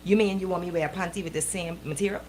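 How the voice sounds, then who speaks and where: A woman with a Bahamian accent hosting a live radio show with casual banter, talking in a playful, animated, colloquial way. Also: fairly low pitch, racing, slight background noise.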